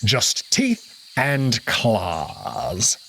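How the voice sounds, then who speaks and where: A man voicing a slow, menacing old wolf. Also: medium pitch, huge pitch swings, creaky, sharp tone, slight background noise, heavily acted. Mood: coldly scheming. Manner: slow, drawn-out threats. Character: old scarred male wolf, menacing usurper.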